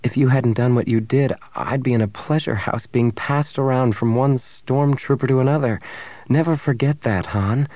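A man voicing a gentle, devoted young woman in an audiobook, speaking softly and with feeling. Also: mid-range voice, moderate pace, no room sound, natural voice. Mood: tender. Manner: soft, emotional delivery.

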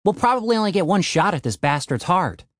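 Man playing a stubborn, earnest young schemer, lively pitch. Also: quick pace, dry recording, clean studio sound. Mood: insistent.

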